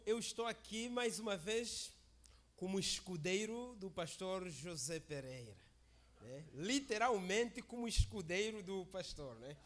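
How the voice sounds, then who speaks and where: A man who is a guest preacher at a church service, speaking in a chatty, joking way that often asks "né?" and calls for amens. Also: high-pitched. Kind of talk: informal church sermon.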